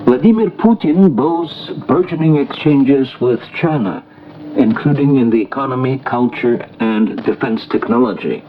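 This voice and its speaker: A man reading a formal, scripted international news bulletin as a radio newsreader, in a measured delivery. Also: medium pitch, lively intonation. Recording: noisy, muffled shortwave radio broadcast recording.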